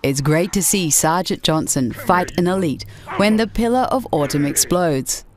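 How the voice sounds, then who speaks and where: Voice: medium pitch, lively intonation, normal speed, crowd noise. A narrator reading a scripted video game countdown as a voice-over.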